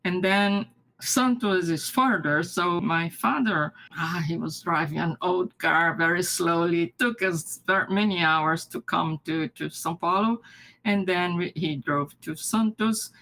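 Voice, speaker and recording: Slow-spoken older woman, Japanese native. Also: on the low side, low-quality recording. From Brazil. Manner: halting, restarts often, soft laughs. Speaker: interviewee, retired mathematics professor. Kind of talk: recorded life-story interview.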